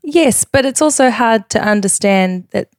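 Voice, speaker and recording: A woman's voice: on the high side, expressive, normal speed, no room sound, clean studio sound. A young woman with a thick New Zealand accent, chatting openly as a podcast guest and laughing often. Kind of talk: casual podcast chat.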